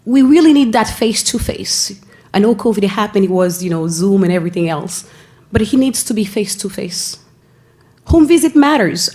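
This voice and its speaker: A woman from Haiti with a Haitian accent, giving an earnest presentation at a school board meeting, often pausing and restarting her phrases. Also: mid-range voice, darkish tone. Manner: earnest, with frequent pauses and restarts. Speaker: school family engagement staff member.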